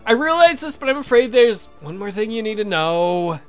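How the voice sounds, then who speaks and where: A high-pitched gentleman vampire played by a man from a gothic game. He speaks in long, formal sentences, earnest and smitten, over clear background music. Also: sing-song, normal speed. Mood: earnest confessing. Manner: formal, verbose, confessing. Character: courtly lovestruck male vampire count, formal and earnest.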